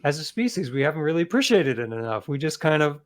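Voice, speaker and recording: An American man speaking as an interview guest, explaining things conversationally and earnestly, often halting and rephrasing as he goes. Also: fairly high pitch, big pitch swings.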